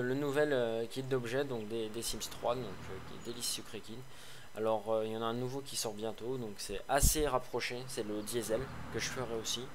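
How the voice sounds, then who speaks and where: A man hosting a casual game review, slightly hoarse, rambling with fillers and restarts, tossing in sarcastic asides. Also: medium pitch, a bit bright, slight background noise. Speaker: gaming video host.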